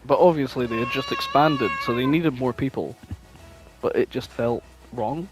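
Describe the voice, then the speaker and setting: A man's voice: mid-range voice, normal speed, faint music behind, rough recording. A man chatting casually as a podcast co-host, blunt, opinionated and free with swearing. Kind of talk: casual chat, reminiscing.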